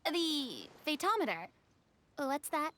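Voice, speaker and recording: A woman voicing a tiny, eager, childlike floating fairy companion in a video game, with a squeaky, excitable delivery. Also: slow, full character voice. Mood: curious. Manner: excitable, refers to herself in third person. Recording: music behind the voice.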